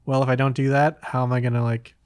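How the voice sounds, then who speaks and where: A man's voice: mid-range voice, level tone, fast, darkish tone, clear, resonant tone, no room sound, clean studio sound. A man hosting a casual podcast, talking in a hesitant way as if thinking aloud. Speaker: podcast host.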